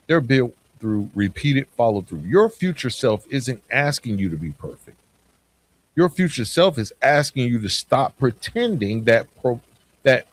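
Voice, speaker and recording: A man hosting a motivational self-improvement podcast, speaking conversationally and stressing his points with deliberate, repeated phrasing. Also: medium pitch, poor audio. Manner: conversational, informal, with "right?" check-ins. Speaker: podcast host.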